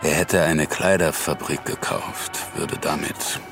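Very deep, gravelly, world-weary man. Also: fairly even intonation, a little slow, music in the background, heavily acted. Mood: resigned. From New York, United States. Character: laconic, world-weary American man confiding in his lover. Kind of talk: intimate radio drama acting.

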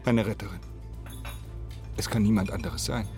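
Casting: male actor as troubled doctor, low voice, brooding and guarded, radio drama, long reverb. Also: fairly even intonation, moderate pace, darkish tone, natural voice. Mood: guilt-stricken. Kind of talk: radio play acting. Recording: long reverberant room, music behind.

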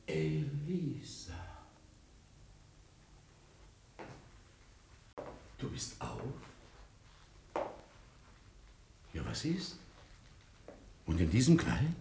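An actor playing a grave, haunted, devoted husband in a 1950s German radio drama, with emphatic theatrical delivery. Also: male voice, mid-range voice, sing-song, slow pace, warm tone, slight background noise. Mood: puzzled, uneasy. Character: Severin Masur, grave émigré lawyer, haunted devoted husband.

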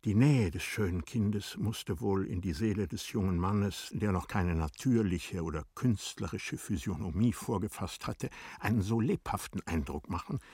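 A man reads literary narration for a radio play in a measured, formal way. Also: sits low, mellow, slightly rough, no room sound, clean studio sound.

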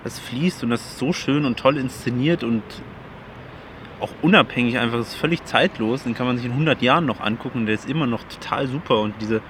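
A man's voice: medium pitch, fairly quick, a bit bright. Young guy student gushing in long run-on sentences, mid voice, faint noise. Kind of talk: casual chat.

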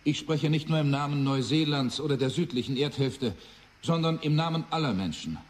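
A man's voice: on the high side, a little fast, lightly acted. Casting: man playing solemn prime minister pleading for peace, raised male register, emphatic formal oratory. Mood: urgent, solemn. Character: urgent New Zealand prime minister appealing for peace. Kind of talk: formal political address in radio drama.

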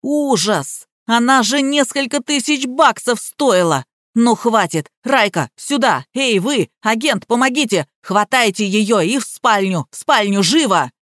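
A woman's voice: fairly high pitch, lively intonation, measured pace, fairly bright tone, no echo, clean studio sound. A woman voicing an exasperated husband in an audiobook, urgently barking orders. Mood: panicked. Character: agitated, commanding husband, an exasperated man.

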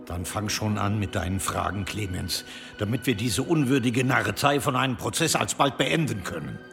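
An actor playing a haughty, indignant medieval bishop in a radio play, pompous and scornful. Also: male voice, on the low side, expressive, normal speed, vocal fry, fairly bright tone, faint music behind.

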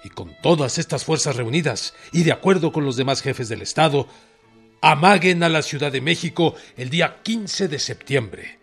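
A man playing a resolute revolutionary leader who dictates orders in a formal, deliberate, authoritative way in a radio drama. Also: on the high side, expressive, fairly bright tone. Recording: radio play with music underneath.

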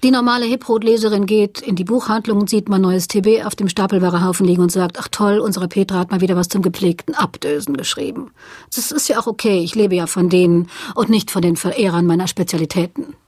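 A woman's voice: mid-range voice, fairly even intonation, quick pace, darkish tone, no echo, lightly acted. A woman playing a self-assured, ironic popular novelist in an interview, articulate, dry and slightly pompous. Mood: self-satisfied. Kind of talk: dialogue of a staged interview.

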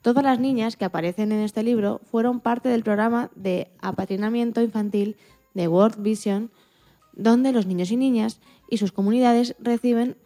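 A woman's voice: on the high side, warm tone. A woman co-presenting a radio programme, reading prepared text aloud. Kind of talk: scripted narration, reading aloud.

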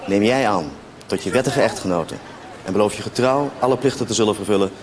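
A man's voice: fairly low pitch, quick pace, a little hiss or hum, lightly acted. A man as a formal wedding registrar, reciting ceremonial vows in an official manner. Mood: solemn.

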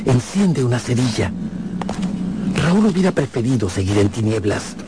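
Male suspenseful radio drama narrator, animated intonation. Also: medium pitch, very noisy, close to an everyday voice. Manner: measured, suspenseful. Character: dramatic storyteller of a suspenseful tale. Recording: clear music behind the voice.

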